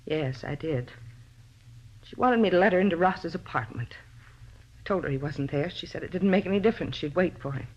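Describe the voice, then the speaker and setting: Bitter faded star on dull old radio. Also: female voice, fairly low pitch, expressive, brisk, darkish tone. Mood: broken, pleading. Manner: pleading, self-justifying, bitter. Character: possessive faded female star confessing to murder. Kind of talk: 1950s radio drama acting. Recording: dull vintage radio broadcast recording.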